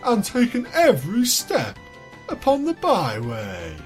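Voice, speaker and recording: Woman voicing a slow, noble dying talking cupcake. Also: fairly high pitch, huge pitch swings, a little mellow, soft background music. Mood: solemn, noble.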